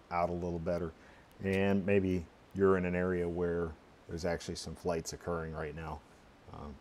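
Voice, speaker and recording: Low-voiced man thinking aloud. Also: a little mellow, slight background noise. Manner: halting, unscripted, muttering through steps. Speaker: tutorial presenter. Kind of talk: casual screencast walkthrough, thinking aloud.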